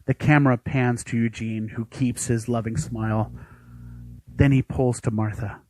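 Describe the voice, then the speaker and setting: A man's voice: medium pitch, fairly even intonation, normal speed, dark tone. A man reading out scene descriptions as scripted narration for a voice acting audition. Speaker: voice actor auditioning.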